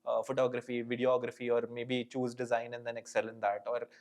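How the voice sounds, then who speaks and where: A man's voice: mid-range voice, monotone, a little fast, a little mellow. A man with an Indian English accent hosting a casual podcast interview, asking long rambling questions and often affirming with "correct". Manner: affirming listener, frequent "correct" and "mm-hmm". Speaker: podcast host.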